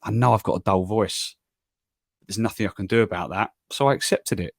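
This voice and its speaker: A man with an English accent hosting a football podcast, talking calmly and evenly in a self-deprecating way. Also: lowish voice, a little fast, no echo, studio quality. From England. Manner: calm, even, self-deprecating. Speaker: podcast host. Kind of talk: solo podcast monologue and interview.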